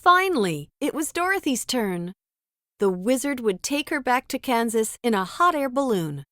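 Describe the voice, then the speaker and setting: A woman's voice: on the high side, very animated, moderate pace, a bit bright, no room sound, studio quality. A woman narrating a children's storybook as a scripted read-aloud.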